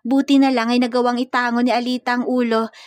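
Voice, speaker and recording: A woman's voice: on the high side, little pitch movement, fast talker, dry recording. A woman narrating a scripted romantic comedy story.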